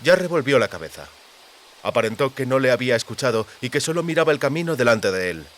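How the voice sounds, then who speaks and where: A man's voice: medium pitch, big pitch swings, fast talker. A man reading literary fiction as a scripted audiobook narration.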